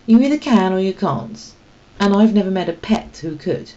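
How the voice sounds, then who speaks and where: A woman's voice: medium pitch, animated, moderate pace, warm tone, pitched above the performer's own voice, close to an everyday voice. Woman reader as a female alley cat, blunt, scornful, teasing, storybook read-aloud, slightly dull recording. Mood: dismissive.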